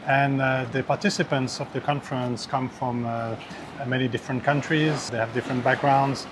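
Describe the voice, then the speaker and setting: A man interviewing a guest at a conference, polite and halting with many fillers. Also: mid-range voice, fairly even intonation. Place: outdoors in a Venice palace by the canal. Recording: clear background noise outdoors.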